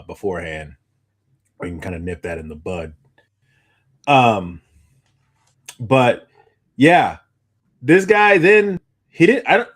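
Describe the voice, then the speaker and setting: Animated, rambling man chatting casually. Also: fairly low pitch. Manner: animated, rambling, stumbling over repeated starts. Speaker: podcast co-host. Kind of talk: casual chat, recounting anecdotes.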